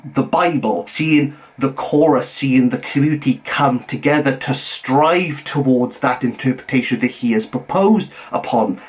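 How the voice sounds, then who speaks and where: A young adult man with a Welsh accent, reviewing a novel in a measured, earnest way, dropping his voice low on titles. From Wales. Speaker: book reviewer. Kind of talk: scripted book review.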